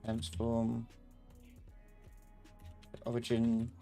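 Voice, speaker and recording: A man's voice: mid-range voice, completely flat delivery, very slow, dark tone. Informal man teaching live, music behind. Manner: informal, muttering and humming while working. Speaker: host, software instructor. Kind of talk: casual live tutorial with thinking aloud.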